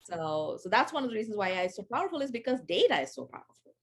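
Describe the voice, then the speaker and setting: A woman's voice: mid-range voice, very animated, racing. A woman in her forties from Sri Lanka, chatting as an interview guest with lots of fillers and restarts. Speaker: guest, AI education founder. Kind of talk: casual interview over video call.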